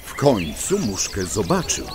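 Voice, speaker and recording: A man narrating a scripted children's tale as a theatrical, expressive storyteller. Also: fairly low pitch, unhurried, over a noisy crowd. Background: music.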